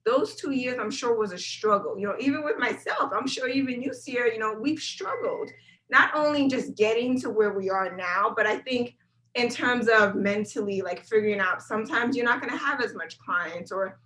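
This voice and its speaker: Bright-voiced energetic woman guest. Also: a little high, moderate pace, low-quality recording. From South Florida. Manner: energetic, repeats words when thinking, conversational. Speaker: guest, brand videographer. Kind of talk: casual interview chat.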